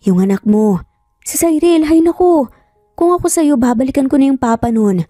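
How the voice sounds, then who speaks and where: A woman's voice: sits high, lively intonation, normal speed, mellow, dry recording, clean studio sound, soft background music. A woman voicing a nosy, gossipy female office coworker in a story, teasing and prodding with exclamations. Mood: pitying, prodding. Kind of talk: dramatized Tagalog story narration.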